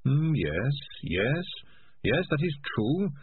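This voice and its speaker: Narrator putting on a small earnest rat, calm and polite, lively pitch, tinny sound, faint hiss. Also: male voice, slow pace, breathy edge, no echo, exaggerated voice.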